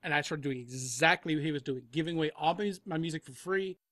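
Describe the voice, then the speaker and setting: Man, higher pitch, dry sound, casual interview guest. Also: fast, sharp tone, no room sound. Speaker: guest, composer and audio drama creator. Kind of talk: casual chat.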